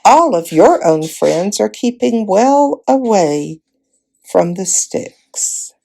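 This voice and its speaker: A woman's voice: medium pitch, big pitch swings, takes it slowly, gravelly, mellow, exaggerated voice. A woman voicing a clever, sceptical soldier in a storybook, doubting and challenging. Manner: doubting, argumentative.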